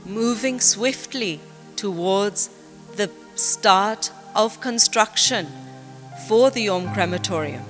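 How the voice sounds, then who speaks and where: A documentary voice-over narrator speaking slowly and deliberately, with reverb. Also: mid-range voice, animated. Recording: reverberant with music behind.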